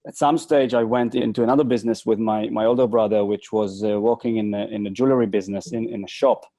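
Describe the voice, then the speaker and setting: A middle-aged man speaks as a podcast guest, his voice mellow and dark. He tells his story haltingly, with fillers and restarts. The recording is dry and close. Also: lowish voice, steady tone, dry recording. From Israel. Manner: hesitant, frequent restarts and fillers. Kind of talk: casual interview storytelling.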